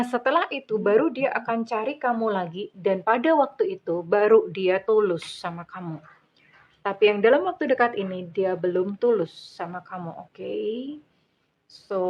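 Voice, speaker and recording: A woman's voice: medium pitch, highs cut off. A middle-aged woman with a Javanese-influenced accent, giving a soothing tarot reading to her viewers, calling them dear. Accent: Javanese-influenced Indonesian. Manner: soothing, addresses listener as dear, calls herself Bunda. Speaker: tarot reader.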